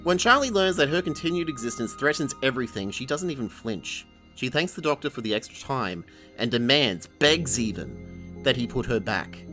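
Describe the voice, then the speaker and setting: Australian male reviewer, lively swinging intonation, emphatic and sardonic, swears freely, music underneath. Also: medium pitch, normal speed. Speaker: video review host. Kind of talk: scripted opinionated review narration.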